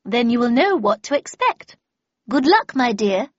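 A woman's voice: on the high side, very animated, a little slow, dry recording, dull audio, voice pushed up. A woman voicing an imperious, irritable older woman mentor with a brusque, scornful, commanding manner in an audiobook. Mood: kindly.

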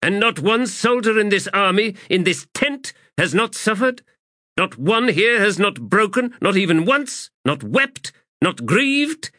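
A man's voice: medium pitch, very animated, slow, fairly bright tone, no echo, studio quality, pitched above the performer's own voice. A man reading aloud voices a stern woman commander who speaks harshly and presses hard questions. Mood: harsh, demanding. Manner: harsh, rising to fierce questioning.

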